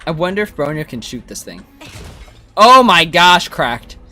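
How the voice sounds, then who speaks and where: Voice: mid-range voice, very animated, measured pace, bright, forceful tone. A game streamer, chatting casually and thinking aloud while playing. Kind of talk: livestream gameplay commentary, casual chat. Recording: game music behind. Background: video game music.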